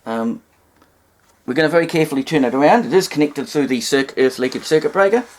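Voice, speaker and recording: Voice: medium pitch, quick pace. A speaker with a New Zealand accent explains a hands-on demonstration, hesitantly thinking aloud and carefully spelling things out. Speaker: presenter of a vintage radio repair demonstration. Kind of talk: unscripted hands-on demonstration talk. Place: indoors, at a table in a room.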